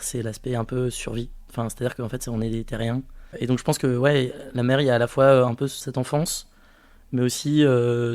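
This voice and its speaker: Flat-voiced young man, hesitant. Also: mid-range voice, no echo. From French Guiana. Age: 20s. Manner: hesitant, thinking aloud with many pauses. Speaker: podcast guest. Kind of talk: casual interview testimony.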